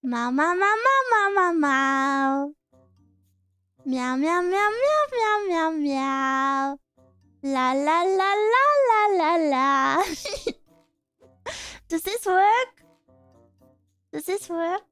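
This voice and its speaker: A young woman streamer chatting casually with her viewers, self-conscious and giggly, with a scratchy throat she keeps clearing. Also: very high voice, animated, drawn-out words, long pauses, bright, forceful tone, no room sound, soft background music. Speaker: VTuber streamer. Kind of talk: casual stream chat, reading chat aloud.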